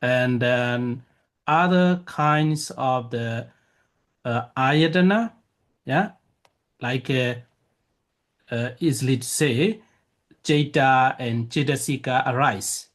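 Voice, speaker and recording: A man whose first language is Burmese, a monk teaching a lecture, talking haltingly with many fillers and restarts. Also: mid-range voice. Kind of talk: Dharma lecture with questions.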